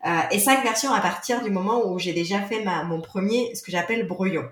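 A woman's voice: mid-range voice, brisk, roomy sound. A woman, not a native French speaker, being interviewed on a podcast as an author, talking hesitantly with many fillers and restarts. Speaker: guest author.

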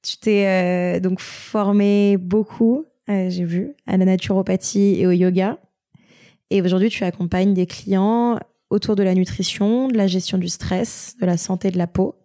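A woman's voice: medium pitch, fairly even intonation, a little slow, dark tone. A woman hosting a podcast, introducing and interviewing a guest. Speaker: podcast host.

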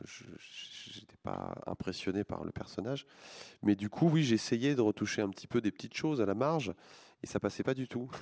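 Hesitant low-voiced French-speaking man. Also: creaky, a little mellow. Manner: hesitant, many restarts and fillers. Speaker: comic book artist and author. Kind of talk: interview answers.

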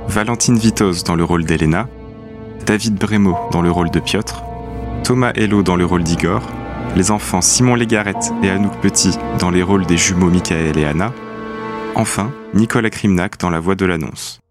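A man's voice: low-pitched, moderate pace, over music. A man reading scripted cast credits as the announcer of an audio drama.

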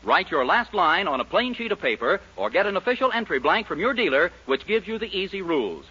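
Casting: American male announcer, 1940s radio, high animated voice, emphatic sales pitch, dull vintage recording. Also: brisk, breathy edge. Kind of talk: 1940s radio announcing, scripted commercial and narration.

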